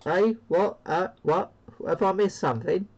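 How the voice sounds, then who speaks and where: A man's voice: sits high, unhurried, darkish tone, dull audio, slight background noise. A man giving a casual, unscripted CB radio demo in a hesitant, rambling way. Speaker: CB radio hobbyist presenting a demo. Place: indoor radio room.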